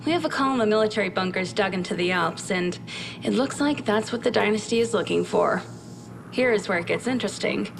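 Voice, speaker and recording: An actress playing a matter-of-fact military briefing officer in a video game, informal but businesslike. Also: female voice, medium pitch, fairly quick, gravelly, noisy background, lightly acted. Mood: brisk.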